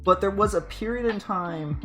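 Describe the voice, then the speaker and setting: A man's voice: high-pitched, normal speed, fairly bright tone, faint music behind. A man chatting casually on a podcast, telling a rambling story and laughing mid-sentence. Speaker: VTuber podcast co-host. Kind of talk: casual chat.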